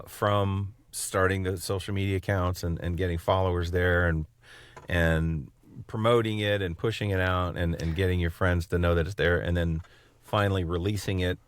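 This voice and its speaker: A man co-hosting a podcast, asking questions in a casual, wordy and halting way. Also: low voice, no echo. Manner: halting, wordy, circling phrasing. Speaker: podcast co-host, interviewer. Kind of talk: casual interview chat.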